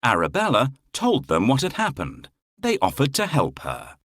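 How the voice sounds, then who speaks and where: A man narrating a children's fairy tale in an expressive, theatrical, deliberate way. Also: medium pitch, dry recording, studio quality. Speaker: storyteller.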